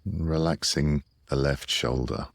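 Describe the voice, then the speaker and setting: A man leading a guided relaxation meditation in a soothing, unhurried way with long pauses, close on the microphone. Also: a bass voice, fairly even intonation, vocal fry, no room sound.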